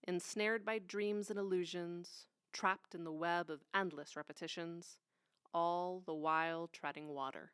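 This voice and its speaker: A woman reads solemn poetry with deliberate drama. Her voice is fairly low and smooth, and she takes her time. The sound is close and dry. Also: fairly even intonation, fairly bright tone, no echo. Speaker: poetry reader.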